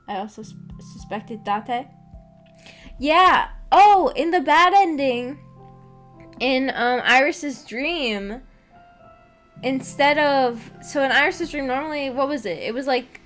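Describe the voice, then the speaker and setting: A woman with a fairly high voice hosts a casual livestream. She rambles along in a giggly, self-deprecating way as she chats with her viewers. Soft music plays faintly behind her. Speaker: VTuber streamer and host.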